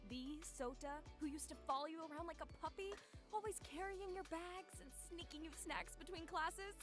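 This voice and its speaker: A woman's voice: very high-pitched, moderate pace, music in the background, pitched above the performer's own voice. A woman voicing a sly, teasing young female student in a visual novel, playful and dryly knowing.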